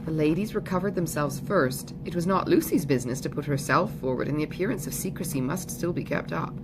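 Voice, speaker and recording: A woman's voice: deep voice, brisk, noisy background. A woman giving a scripted literary narration as an audiobook reader.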